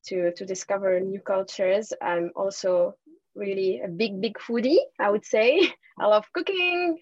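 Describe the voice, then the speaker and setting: A woman's voice: medium pitch, no room sound, muffled recording. A young woman from Tunisia, a lawyer guest, chatting casually in an interview and laughing often.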